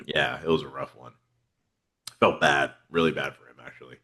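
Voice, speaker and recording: Man, very low voice, bright edge, congested, rambling podcast host. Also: steady tone, moderate pace. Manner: laid-back, rambling with many fillers. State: congested from a head cold. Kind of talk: casual fantasy football chat.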